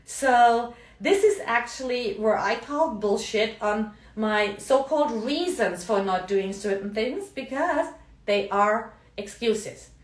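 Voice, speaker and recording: A woman's voice: fairly high pitch, expressive, slow. A middle-aged woman from Germany with a German accent, a coach talking chattily in a live video, often tagging on "yeah?" and "right?". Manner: chatty, frequent tag questions. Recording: roomy, mic at a distance.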